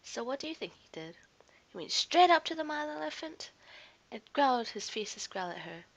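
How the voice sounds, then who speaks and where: A woman's voice: high voice, very animated, a little fast, poor audio. A woman narrating a scripted children's story expressively, as a storyteller to young listeners.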